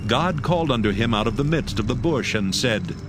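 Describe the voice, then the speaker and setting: A man's voice: medium pitch, animated, a little fast, background noise. A man narrating scripture as storyteller in a dramatized audio production. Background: music.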